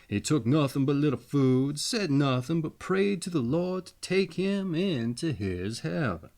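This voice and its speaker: A man's voice: fairly high pitch, expressive, measured pace, a little mellow. A man reading fairy tales expressively as a storyteller, with folksy, theatrical phrasing and casual asides.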